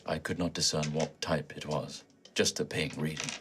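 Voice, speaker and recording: A man voicing a polite, detached ship's computer in a tabletop game, speaking in a measured, formal way. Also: extremely low pitch, steady tone, fairly bright tone, slight background noise, voice pushed down. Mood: calm, puzzled. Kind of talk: tabletop game role-play.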